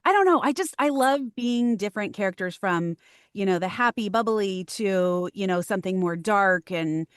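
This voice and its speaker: A woman's voice: mid-range voice, expressive, moderate pace, clear, resonant tone, no room sound. Woman voice actress with a dry sound. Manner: thoughtful, many ums, light laughs. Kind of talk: casual interview chat.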